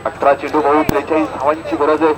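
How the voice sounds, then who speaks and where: A man's voice: a little high, little pitch movement, quick pace, a crowd in the background, low-quality recording. A man with a Maharashtrian accent giving live local cricket commentary, repeating score lines and dropping chatty asides to listeners. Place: outdoors at a cricket ground.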